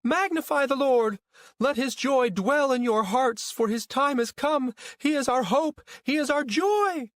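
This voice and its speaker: A man as a fervent, high-pitched pious mother. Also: lively intonation, normal speed, hardly any pauses, dry recording, clean studio sound. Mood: exalted. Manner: fervent, declamatory. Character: pious, fervent mother proclaiming blessings, easily riled woman.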